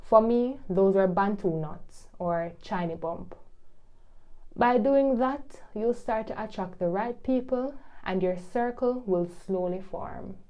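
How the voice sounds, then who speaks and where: A woman's voice: mid-range voice, slow, dark tone. Wry young woman vlogging. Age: young adult. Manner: wry, chatty, with patois asides. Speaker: vlogger, international student. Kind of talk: scripted vlog narration.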